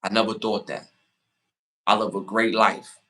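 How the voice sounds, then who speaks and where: A man in his forties hosting a casual podcast, giving motivational advice and shout-outs, repeating phrases for emphasis. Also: on the low side, flat delivery. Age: 40s. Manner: hypes the chat with rapid shout-outs. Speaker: podcast host.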